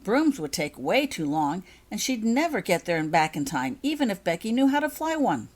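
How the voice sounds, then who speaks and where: A woman's voice: medium pitch, big pitch swings, normal speed, darkish tone. A woman voicing a blunt, sceptical schoolboy in a storybook, matter-of-fact and objecting. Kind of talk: storybook character voice.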